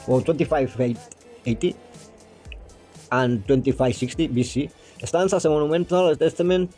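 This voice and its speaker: A man explaining geometry step by step, pausing and restarting often and stumbling when he reads English passages aloud. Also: fairly high pitch, dark tone. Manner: hesitant, with frequent restarts and fillers. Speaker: math explainer.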